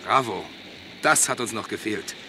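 An actor playing a confident police inspector in a German crime radio drama, clipped and matter-of-fact. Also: male voice, fairly low pitch, normal speed, vocal fry, background noise. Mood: watchful, focused. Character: brisk, confident police inspector running a stakeout.

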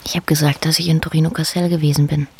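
An actress playing a drowsy, evasive lover in a radio drama, murmuring intimately in a breathy voice. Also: female voice, low voice, little pitch movement, very fast, a little mellow, a little hiss or hum.

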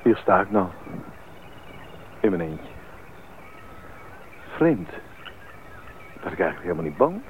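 Eager adventurer, tinny vintage recording. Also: male voice, deep voice. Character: eager, devout male adventurer heading into the jungle. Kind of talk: radio play acting. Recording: tinny, band-limited old radio play, background noise.

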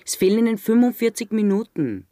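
An actor playing a hard, impatient homicide detective in a radio drama, curt and gruff as he presses his questions. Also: sits high, very animated, a little fast, warm tone, dry recording, clean studio sound. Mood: pressing.

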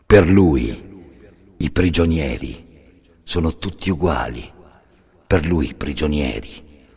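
A man performing scripted dramatic storytelling as a solo narrator, grave and deliberate, in short phrases with pauses. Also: rumbling low, expressive, darkish tone, husky. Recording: long reverberant hall.

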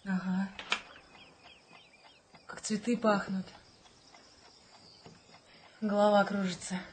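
An actress playing a wistful, romantic young pregnant woman in a radio play, chatty and slightly plaintive, with a slightly husky voice. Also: medium pitch, level tone, slow pace, background noise, close to an everyday voice. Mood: dreamy. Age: young adult.

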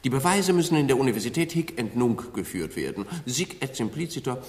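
A man acts a haughty old rector in a satirical radio drama. He is pompous and pedantic, his mid-pitched voice swinging expressively through a long hall reverb. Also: animated, a little fast, a little mellow, natural voice. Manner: pompous, condescending, scholarly Latin flourishes. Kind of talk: satirical German radio play acting.

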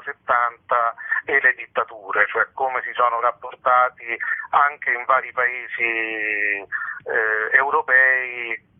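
Male radio guest, 50s, medium pitch, rambling hesitant delivery, narrow telephone audio. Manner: hesitant, discursive, with frequent pauses. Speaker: interview guest, art exhibition presenter. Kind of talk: spontaneous phone interview. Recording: narrow tinny phone line on radio.

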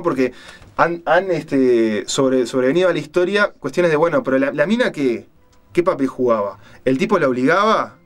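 Hesitant Rioplatense man telling a story. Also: mid-range voice. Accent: Rioplatense Spanish. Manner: hesitant, halting, searching for words. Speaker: radio show host. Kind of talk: casual storytelling conversation.

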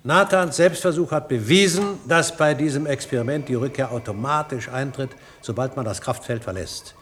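A man acting in a radio play as an impatient scientist who lectures in a brisk, dryly ironic, didactic way. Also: medium pitch, a bit bright, natural voice. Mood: lecturing, ironic. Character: impatient, ironic male scientist, a lecturing professor explaining theories. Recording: noisy old radio play recording.

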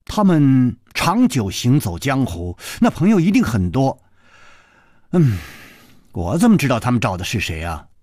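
Man voicing a sly, breathy, coquettish woman. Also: medium pitch, huge pitch swings, unhurried, darkish tone, dry recording, clean studio sound, voice pushed down. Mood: cold, evasive. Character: teasing, coquettish, obsessive young woman in a wuxia tale.

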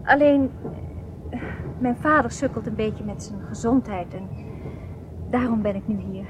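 A woman's voice: on the high side, unhurried, close to an everyday voice. An actress playing a polite, chatty young refugee woman in a radio drama. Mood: chatty, friendly. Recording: radio play with clear background noise. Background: train ambience.

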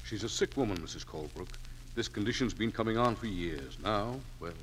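An actor playing a sober, courteous family doctor in a 1940s radio drama, measured and formal. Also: male voice, on the low side, animated, natural voice. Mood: grave. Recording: noisy old 1940s radio recording.

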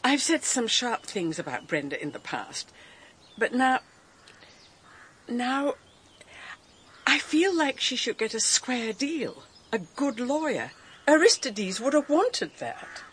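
Stern, guarded older British aunt. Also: female voice, on the high side, sing-song, fairly bright tone, slight background noise, full character voice. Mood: uneasy, guarded. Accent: British English. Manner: brisk, no-nonsense. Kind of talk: radio drama acting.

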